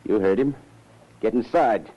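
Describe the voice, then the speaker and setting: An actor playing a gruff, slow-witted henchman with slangy tough-guy talk, in 1940s radio style on a muffled old broadcast. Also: on the low side, warm tone, slight background noise, natural voice. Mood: gruff, threatening.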